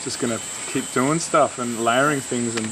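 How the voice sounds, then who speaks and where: A man's voice: medium pitch, fairly even intonation, normal speed, background noise. A man from Australia, a musician talking about a collaboration in a laid-back, slangy way. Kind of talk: casual interview answer.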